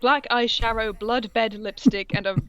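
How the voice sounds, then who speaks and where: A woman's voice: fairly high pitch, steady tone, normal speed, a bit bright, smooth, no room sound. A woman reading aloud in a casual comic style, with sardonic, sweary asides and a theatrical touch. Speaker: co-host reading fan fiction aloud.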